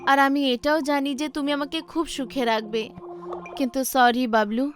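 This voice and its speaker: A woman voicing a grieving, devoted young heroine in an animated horror story, earnest and emotional. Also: sits high, normal speed, soft background music, natural voice. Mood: sad, firm.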